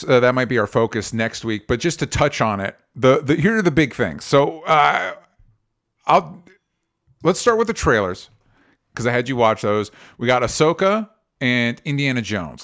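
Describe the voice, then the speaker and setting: Guy cohosting a podcast, mid pitch, rambly with lots of ums and restarts. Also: fairly bright tone. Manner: rambling, many restarts and fillers. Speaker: podcast co-host. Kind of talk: casual podcast chat.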